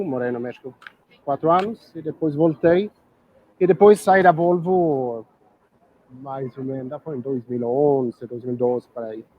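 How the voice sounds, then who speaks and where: A middle-aged Swedish man with a Swedish accent, a guest in a casual chat, talking unhurriedly and pausing to search for words. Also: medium pitch, lo-fi sound. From Sweden.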